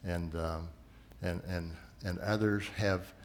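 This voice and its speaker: A man preaching a sermon, telling a story earnestly and often sinking into a soft, low voice. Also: fairly even intonation, slow. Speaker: missions leader preaching.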